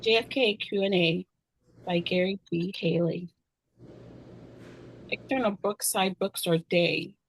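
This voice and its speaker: A woman's voice: lowish voice, fairly even intonation, slow pace, long pauses, slight background noise, low-quality recording. A woman narrating stage directions at a screenplay table read, sometimes stumbling over and repeating words.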